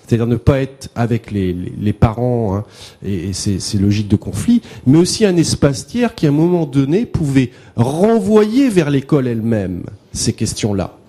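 Lively, husky-voiced man in reflective discussion. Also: medium pitch, normal speed. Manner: reflective, hesitant with fillers. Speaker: conference participant. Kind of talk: academic discussion.

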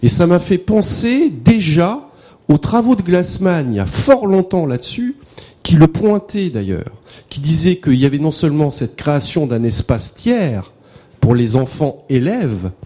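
A man's voice: fairly high pitch, very animated, measured pace, warm tone. A man sharing a personal reflection at an academic discussion, hesitant and thoughtful, with fillers between his points. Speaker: conference participant.

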